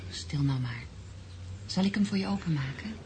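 An actress playing a calm, reassuring young wife in a Dutch radio drama, speaking softly. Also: female voice, low voice, normal speed, background noise. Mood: soothing. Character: Simone, calm soothing pregnant young wife.

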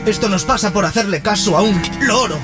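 An actor playing an earnest, eager young musician and grandson in an audio drama. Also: male voice, sits high, a little fast, music in the background, natural voice. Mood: weary, wistful.

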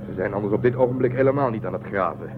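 Guy acting a grumpy headstrong starship commander, talks really fast, sci-fi radio play, noisy tinny audio. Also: lowish voice, lightly acted. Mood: irritated. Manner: brusque, challenging. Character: irritable male spaceship commander, impatient and headstrong. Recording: phone-like lo-fi audio with loud noise.

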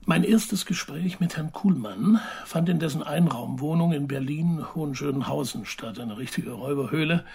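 An actor playing an evasive investigative journalist in a radio crime drama, speaking hesitantly and precisely in German. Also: male voice, fairly high pitch, normal speed, gravelly, few pauses, darkish tone, breathy edge. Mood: explanatory, composed. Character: evasive male investigative journalist giving testimony.

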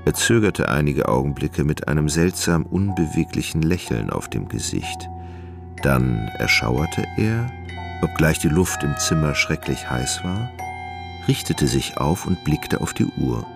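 A man narrating a literary radio drama in a measured, dramatic storytelling manner, in German studio style. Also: very deep voice, expressive, gravelly. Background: plucked guitar music.

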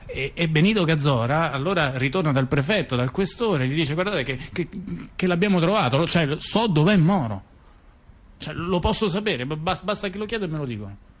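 A man's voice: on the high side, expressive, brisk. A man telling a long story in a theatrical radio dialogue, chatty and digressive, full of asides and fillers. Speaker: theater performer.

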